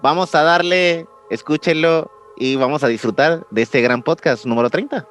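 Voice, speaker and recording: A man's voice: on the high side, normal speed, a bit bright, soft background music. A man, co-hosting a podcast in casual chat. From Puerto Rico. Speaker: podcast co-host.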